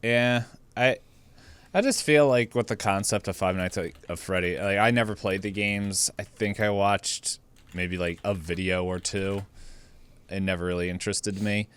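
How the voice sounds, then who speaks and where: A man's voice: fairly low pitch, steady tone, normal speed, fairly bright tone, clear, resonant tone. A man co-hosting a podcast, discussing films casually and correcting himself as he goes. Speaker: podcast co-host.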